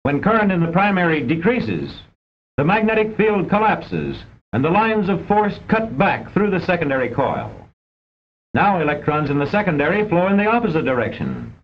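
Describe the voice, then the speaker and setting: A man with an American accent delivers formal scripted narration for a vintage instructional film, measured and didactic with precise enunciation. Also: high voice, huge pitch swings. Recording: dull, band-limited old film soundtrack.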